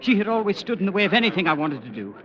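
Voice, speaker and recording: An unrepentant, agitated wrongdoer, fast and excitable, in a highish voice. His defensive delivery suits vintage radio drama, on a dull recording. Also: a crowd in the background. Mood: defiant, agitated. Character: agitated, unrepentant man justifying his crime.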